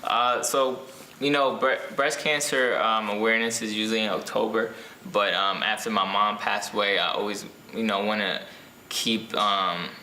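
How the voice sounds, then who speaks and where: A young man from Ethiopia, an author answering interview questions casually, pausing often with ums and restarts. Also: fairly low pitch, steady tone, pushed and bright, roomy sound, slight background noise, low-quality recording. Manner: hesitant, many ums and restarts.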